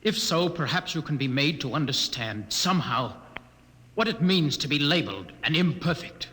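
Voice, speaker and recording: An actor playing a bitter, sensitive outcast in a 1950s radio drama, articulate, sardonic and intense. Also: male voice, fairly high pitch, expressive, normal speed, sharp tone, slightly rough, exaggerated voice. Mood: pleading, earnest. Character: bitter, sensitive outcast man labelled genetically imperfect. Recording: old 1950s radio recording.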